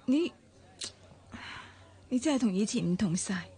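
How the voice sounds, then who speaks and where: An actress playing a weary, reserved estranged wife in a Cantonese radio drama, serious and restrained, with a Hong Kong accent. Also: female voice, medium pitch, expressive, a little slow, mellow, slightly rough. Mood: wistful, resigned.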